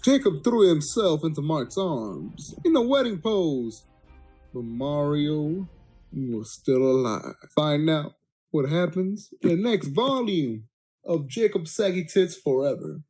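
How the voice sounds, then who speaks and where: Amateur storyteller, slow delivery, slightly husky, mock-dramatic, crude, music in the background. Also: mid-range voice, big pitch swings, darkish tone. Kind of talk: dramatic reading of a comic story.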